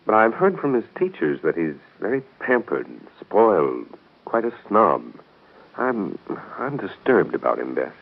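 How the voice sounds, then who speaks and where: An actor in a 1930s radio soap opera playing a courteous, thoughtful gentleman who speaks in an earnest, measured way. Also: fairly low pitch, sing-song, gravelly, narrow, tinny audio, heavily acted. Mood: concerned. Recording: band-limited scratchy 1930s radio broadcast.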